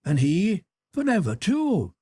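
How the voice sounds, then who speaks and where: A man's voice: sits high, huge pitch swings, slow pace, mellow, slightly rough, no room sound, clean studio sound, voice pushed up, heavily acted. A man voicing a dreamy, infatuated young woman who pleads lightly with her brother in a storybook reading. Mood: pleading.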